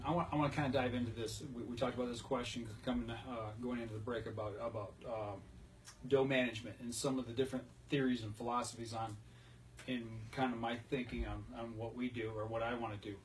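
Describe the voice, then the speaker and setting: A man's voice: mid-range voice, fairly even intonation, quick pace. A man hosting a casual hunting talk show in a folksy, conversational way. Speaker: podcast host. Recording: distant mic, noticeable background noise.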